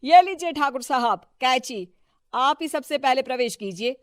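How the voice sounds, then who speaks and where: A flattering small-town local, acted by a woman in a cartoon moral story. The voice is high and eager to please, with a dry, close sound. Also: normal speed, no echo. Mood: welcoming. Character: deferential, flattering townsfolk of a ward.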